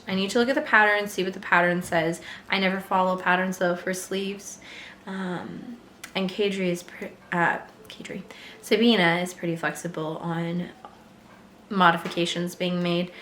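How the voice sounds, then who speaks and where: Slightly hoarse woman chatting in an echoey room. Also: mid-range voice, fairly bright tone, a little hiss or hum. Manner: chatty and rambling, with frequent fillers and light laughs. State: slightly hoarse, voice still recovering. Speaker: knitting vlogger. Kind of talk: casual chat to camera.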